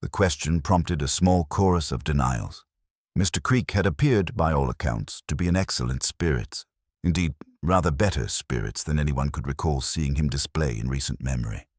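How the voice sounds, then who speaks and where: A man reading a scripted period mystery story in a measured, wry and formal storytelling manner. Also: a bass voice, husky, no echo, clean studio sound. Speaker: narrator.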